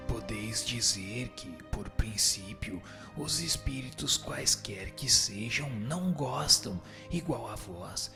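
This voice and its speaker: A man's voice: on the low side, gravelly. A man playing a solemn spirit instructor in a radio drama, reciting didactic answers in an admonishing, formal way. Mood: stern, admonishing. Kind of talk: dramatized reading of a spiritist text.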